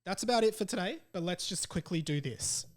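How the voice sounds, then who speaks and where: A man's voice: high voice, animated, a little fast. A young adult man with an Australian accent chats casually and informally as he hosts his solo podcast. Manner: informal and chatty, reads out listener messages. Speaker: podcast host.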